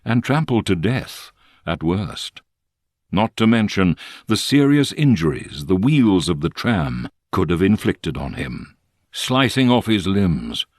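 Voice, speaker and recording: A man reading a literary story as an audiobook narrator, with measured, expressive storytelling. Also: deep voice, no room sound, clean studio sound.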